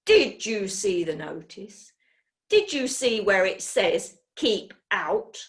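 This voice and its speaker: A woman's voice: medium pitch, sing-song, slow. A woman voicing a red-faced, cruel male chicken farmer who bullies and threatens. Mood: menacing. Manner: threatening, bullying.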